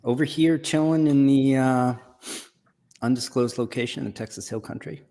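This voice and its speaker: A man's voice: medium pitch, moderate pace, warm tone. A middle-aged man hosting a casual live stream over a video call from a home room, chatty and self-deprecating. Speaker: host.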